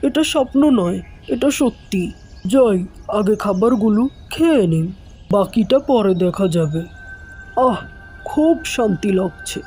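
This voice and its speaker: A woman's voice: mid-range voice, expressive, unhurried, long pauses, warm tone, soft background music, close to an everyday voice. A woman voicing a poor, greedy young village man in a fairy-tale cartoon, exclaiming and talking to himself. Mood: amazed. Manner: self-talking, exclaiming, plaintive.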